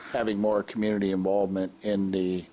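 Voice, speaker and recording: A man with a somewhat low voice speaks casually at a council meeting. He rambles and hesitates often, circling back to finish his thoughts. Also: flat delivery, fairly quick, dark tone. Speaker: council member. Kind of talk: informal meeting remarks. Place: council chamber.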